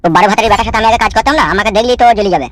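Woman as a brash, fast-talking cartoon village man. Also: medium pitch, close to an everyday voice. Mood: grumbling. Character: brash, grumbling, foul-mouthed male village son-in-law. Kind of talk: comedy cartoon voice acting.

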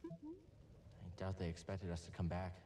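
A man's voice: low-pitched, flat delivery, moderate pace, slight background noise, close to an everyday voice. An actor playing an earnest, wry young male hero in a video game. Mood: thoughtful. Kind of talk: video game dialogue acting. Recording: quiet under loud game sound.

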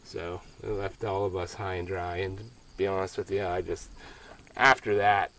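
A man's voice: low voice, little pitch movement, moderate pace, a bit bright, slight background noise. Rambling middle-aged man outdoors. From Pennsylvania. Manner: rambling, self-correcting, plainspoken. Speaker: homestead solar vlogger. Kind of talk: casual walkthrough vlog. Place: outdoors by a ground-mounted solar array in a rural field.

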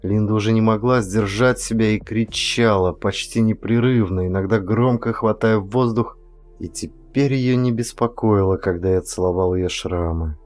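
A man's voice: on the low side, moderate pace, a little mellow. A man reading a first-person story as a scripted, dramatic audiobook narration. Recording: music under the voice. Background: music.

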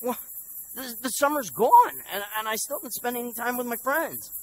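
A man's voice: fairly low pitch, expressive, normal speed, breathy edge, rough recording. A man acting as narrator of a radio drama, playing someone earnestly recalling his bitter, lonely youth. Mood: frustrated. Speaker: narrator and lead actor. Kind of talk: scripted first-person radio drama narration.

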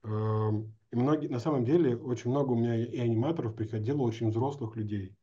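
Mellow middle-aged man, slightly dull call audio. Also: medium pitch. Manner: hesitant, informal, halting phrasing. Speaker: host, interviewer. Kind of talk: casual interview chat. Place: indoor room, video call. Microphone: video call.

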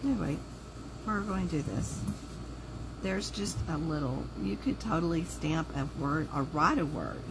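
A woman talks through a craft project in loose, chatty asides, her voice pulled low and rough by a sore throat. A steady hum runs underneath, as if the recording were made in a noisy room at her craft table. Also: measured pace. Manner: chatty, thinks aloud while working. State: sore throat, voice lower than usual. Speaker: craft video host. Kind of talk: casual crafting livestream chat. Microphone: overhead camera on the table, hands only in frame.

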